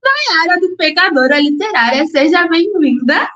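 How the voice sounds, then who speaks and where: A woman's voice: very high-pitched, very animated, normal speed, bright, forceful tone. A woman hosting a podcast interview, upbeat and chatty, often repeating words for emphasis. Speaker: podcast host. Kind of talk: casual interview chat. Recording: echoey, dull lo-fi recording.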